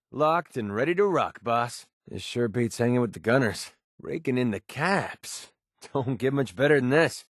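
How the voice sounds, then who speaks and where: An actor playing a cocky, sarcastic young gun-for-hire in a video game, wisecracking and slangy. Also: male voice, medium pitch, no room sound, clean studio sound, lightly acted. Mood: upbeat, cocky. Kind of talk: video game companion voice lines.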